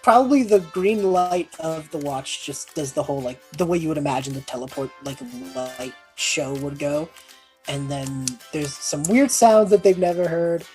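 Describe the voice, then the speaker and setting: A woman's voice: sits low, moderate pace, dark tone, soft background music. A woman casually improvising descriptions as a tabletop game player, in loose, hedging phrases.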